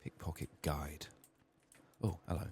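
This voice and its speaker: Very deep, hushed soft-spoken man. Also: steady tone, a little slow, creaky, slightly rough. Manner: hushed, often slipping into whispers. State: sick, strained voice. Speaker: video game streamer. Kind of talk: soft-spoken ASMR gameplay commentary.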